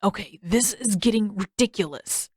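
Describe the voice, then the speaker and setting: A woman's voice: medium pitch, normal speed, a little mellow, breathy edge, no room sound, clean studio sound, close to an everyday voice. A woman voicing an exasperated, sarcastic teenage boy from an audiobook adventure, complaining with indignant emphasis.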